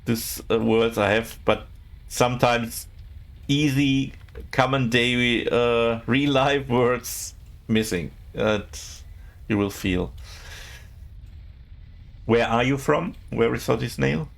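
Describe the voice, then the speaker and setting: A man, speaking English as a while streaming a game and chatting casually with viewers, often pausing to search for words. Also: mid-range voice, vocal fry. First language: not English. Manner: halting, searching for words, many fillers.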